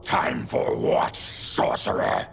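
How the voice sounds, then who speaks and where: A man playing a gruff, defiant but honourable monster warrior in a video game, with a gravelly growl. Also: slow pace, lightly acted. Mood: indignant, wary. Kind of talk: dramatic video game acting.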